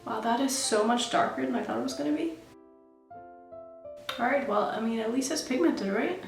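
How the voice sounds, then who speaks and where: A woman's voice: a little high, moderate pace, gravelly, reverberant, soft background music. A woman who grew up in Norway, chatting casually while she does her makeup for a beauty vlog. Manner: chatty, self-narrating while applying makeup. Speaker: beauty vlogger.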